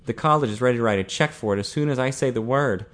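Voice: medium pitch, fast, close to an everyday voice. A narrator playing a reserved young man, guarded and bland with a jealous edge, in a story reading. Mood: subdued.

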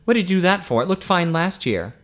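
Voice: medium pitch, very animated, fairly quick, pitched above the performer's own voice, lightly acted. A narrator as a reserved, guarded young man. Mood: accusing.

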